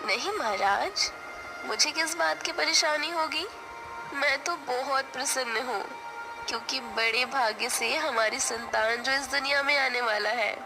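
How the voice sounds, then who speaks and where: A woman playing a gentle, compassionate young queen in a cartoon folk tale, speaking formally and earnestly. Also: high voice, unhurried, over music, poor audio. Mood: content. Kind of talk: cartoon story acting.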